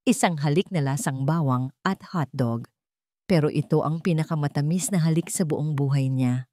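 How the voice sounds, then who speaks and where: Female narrator for scripted romance audio story, dramatic and animated, slightly low voice, studio-dry. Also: normal speed, dry recording.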